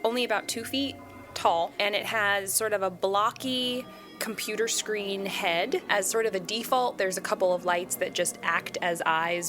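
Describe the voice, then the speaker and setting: Female game player, lowish voice, chatty and descriptive, music playing underneath.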